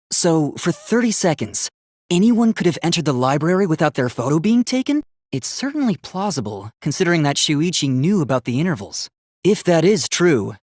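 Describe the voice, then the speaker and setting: A man's voice: on the high side, dry recording, clean studio sound. A man voicing an earnest, logical young robot student in a video game, speaking precisely and formally. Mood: analytical. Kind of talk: English video game voice acting.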